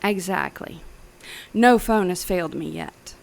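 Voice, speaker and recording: A woman's voice: fairly low pitch, unhurried, creaky. An actress playing a smug, menacing female mad scientist in an audio drama thriller, speaking precisely and theatrically. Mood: smug, boastful.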